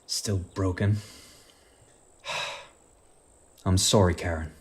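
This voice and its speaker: A man's voice: low-pitched, fairly even intonation, drawn-out words, slightly rough, heavily acted. A man playing a stubborn, guilt-ridden superhero father in a radio play, earnest and defensive. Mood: weary, contrite.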